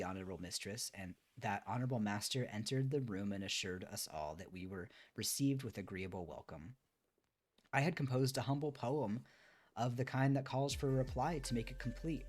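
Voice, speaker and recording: A man's voice: mid-range voice, slightly rough, natural voice. A man reading aloud a respectful, humble male servant in a storybook, with formal, deferential delivery.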